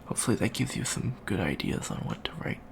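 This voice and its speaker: A man playing an awkward, bookish young alien college student in an ASMR roleplay, talking softly and hesitantly with many ums and stammers. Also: on the low side, very animated, fast talker, vocal fry, noisy background, exaggerated voice. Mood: bookish, rambling. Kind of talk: soft-spoken ASMR roleplay.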